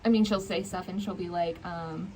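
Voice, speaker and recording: A woman's voice: fairly low pitch, steady tone, moderate pace, mellow. A young woman in a casual book chat, talking informally and hesitantly with many fillers. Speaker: book vlog co-host. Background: ambient noise, people in the distance.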